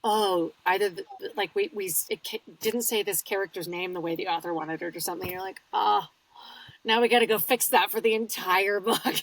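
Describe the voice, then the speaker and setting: A woman's voice: mid-range voice, fairly quick, far from the mic, slight background noise, poor audio. A woman audiobook narrator, talking casually and explaining her work in an interview, laughing easily. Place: home studio.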